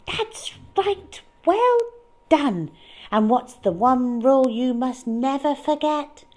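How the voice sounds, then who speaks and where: Woman as a high-voiced motherly mouse teacher. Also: very animated, slow pace, a little mellow, full character voice. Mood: encouraging. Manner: schoolteacherly, questioning and prompting. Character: motherly female mouse teacher, brisk and encouraging.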